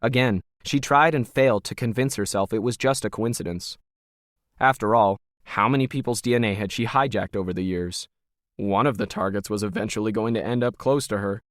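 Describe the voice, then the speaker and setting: A man's voice: lowish voice, dry recording, studio quality. A man reading scripted fiction as a narrator.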